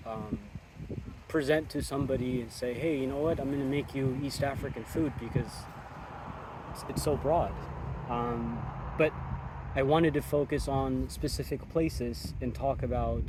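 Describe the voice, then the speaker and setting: A young man from Ethiopia, a chef, talking thoughtfully in a casual outdoor chat, with many pauses and ums. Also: mid-range voice. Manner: thoughtful, measured, with frequent ums. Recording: outdoor recording with clear traffic noise.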